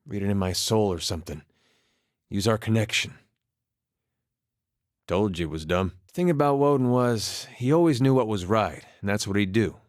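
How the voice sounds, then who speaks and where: A man's voice: fairly low pitch, a little mellow, dry recording, studio quality, natural voice. A man voicing a laid-back, wry farmer turned soldier in a video game, with a plainspoken, understated folksy manner. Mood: hesitant, wistful, then reflective, troubled. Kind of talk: performed game dialogue.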